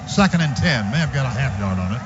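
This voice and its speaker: A man calling a football game live on the radio as play-by-play announcer, calm between plays and rising sharply when the action breaks. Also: fast talker, over music, crowd noise. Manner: rises in pitch and volume on big plays, calm between. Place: stadium radio booth. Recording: muffled lo-fi radio broadcast with background noise.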